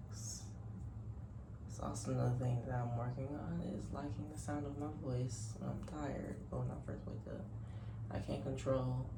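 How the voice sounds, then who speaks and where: A woman chatting casually to her camera at home, sleepy and soft-spoken, rambling and correcting herself as she goes. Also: gravelly, background noise. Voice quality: deep voice. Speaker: vlogger. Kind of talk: casual chat. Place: her apartment, indoors.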